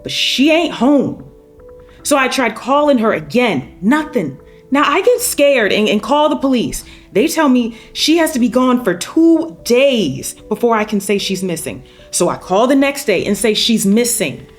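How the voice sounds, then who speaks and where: A woman's voice: a little high, big pitch swings, a little slow, faint music behind. Woman reading as a weary, angry mother. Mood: frustrated. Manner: folksy, blunt, no-nonsense storytelling. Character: angry, weary mother of a missing teenage daughter. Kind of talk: screenplay table read.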